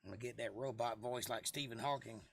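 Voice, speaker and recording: A man with a hoarse, froggy voice hosting a casual livestream, rambling and joking at his own expense as he replies to viewers. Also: medium pitch, fairly quick, a bit bright. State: lost voice for months, throat clearing. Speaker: livestream host.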